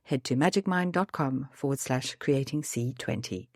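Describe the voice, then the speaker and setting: Woman podcast host, a touch gravelly today, upbeat scripted read, dry close sound. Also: very deep voice, moderate pace, no echo. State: slightly gravelly voice.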